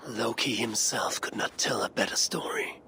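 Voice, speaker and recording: A man playing a grim, determined Viking warrior in a video game, with a gravelly voice. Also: fairly low pitch, moderate pace, crowd noise. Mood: low, musing. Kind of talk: video game cutscene acting.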